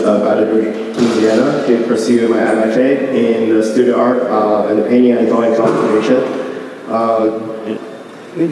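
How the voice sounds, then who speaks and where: A man's voice: lowish voice, a little mellow, slight background noise. A man, giving an artist talk with slides and speaking hesitantly with many fillers and restarts. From Beijing, China. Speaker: visiting artist. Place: art gallery hall. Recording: echoey gallery hall from far off.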